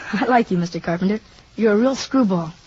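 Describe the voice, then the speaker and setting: A woman's voice: mid-range voice, fairly quick, lightly acted. A woman playing an eager, chatty, naive young American boy with frank, slangy talk, in an old-time radio drama. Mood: curious, playful. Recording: dull, noisy old radio recording.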